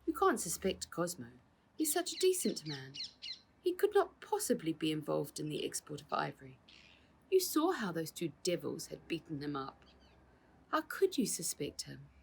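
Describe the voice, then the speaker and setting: A storybook father, read by a woman in a low, slightly husky voice. He is a joker with a teasing manner and an earnest, protective streak toward his brother. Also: huge pitch swings, moderate pace, full character voice. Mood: protesting.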